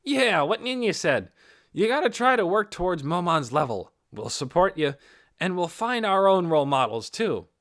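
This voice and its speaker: A man's voice: sits high, sing-song, moderate pace, a bit bright, no echo, clean studio sound, voice pushed up, full character voice. A man voicing a breezy, teasing young male adventurer in an audiobook, with casual upbeat banter. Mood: teasing support.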